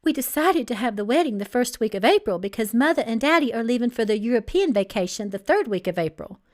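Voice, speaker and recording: A woman's voice: a little high, animated, quick pace, lightly acted. A woman reading a story aloud, voicing a pampered young bride-to-be who is nervous, pleading and manipulative. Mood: coaxing. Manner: flustered, stammering, pleading.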